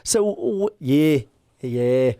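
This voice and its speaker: A man's voice: fairly high pitch, expressive, very slow, dark tone, no room sound, clean studio sound. A man, a parish priest chatting casually on a podcast, rambling with lots of fillers and restarts.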